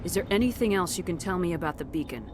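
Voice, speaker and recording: An actress playing a commanding, no-nonsense space soldier in a video game, questioning people directly. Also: female voice, fairly low pitch, expressive, quick pace, noisy background, close to an everyday voice. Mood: businesslike. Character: brisk, commanding female space soldier hero. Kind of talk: dramatic game dialogue.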